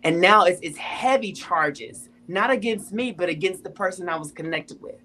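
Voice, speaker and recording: A woman's voice: on the low side, moderate pace, bright, forceful tone, slight background noise. A woman in her thirties telling her personal testimony in an interview, emphatic and repeating phrases for weight. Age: 30s. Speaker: interview guest.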